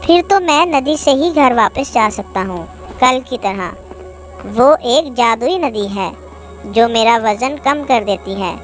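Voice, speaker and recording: A woman voicing a lazy, scheming donkey in a children's storybook tale, with a smug, drawn-out delivery. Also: fairly high pitch, expressive, soft background music. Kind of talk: animated storybook character acting.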